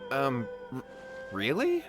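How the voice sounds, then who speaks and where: A man's voice: mid-range voice, huge pitch swings, takes it slowly. An actor playing an anxious, earnest young man who stammers when pressed, in a video game. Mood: flustered. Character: anxious young man pleading for his brother. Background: game background music.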